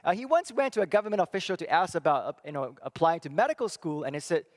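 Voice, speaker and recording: A middle-aged man from Singapore giving a church talk, thoughtful and halting, with many fillers and restarts. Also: fairly high pitch, lively intonation. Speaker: church speaker and psychology professor. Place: church hall. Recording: long reverb of a hall.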